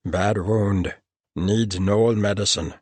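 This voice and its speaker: A man's voice: on the low side, fairly even intonation, slow, darkish tone, breathy edge, dry recording. A man voicing a blunt male gnoll warrior who speaks in clipped broken phrases and refers to himself in the third person. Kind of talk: audiobook character voice.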